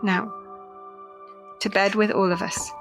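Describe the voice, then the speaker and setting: An actress playing a practical, compassionate Victorian nurse, speaking in a measured, matter-of-fact way in a period audio drama. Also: female voice, mid-range voice, level tone, over loud music. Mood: firm, consoling.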